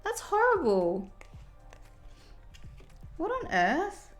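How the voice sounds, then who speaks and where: A woman's voice: very high-pitched, wildly swooping pitch, drawn-out words, soft background music. Chatty woman streamer doing her makeup. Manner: chatty, reads viewer comments aloud and reacts warmly. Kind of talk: casual livestream chat while doing makeup.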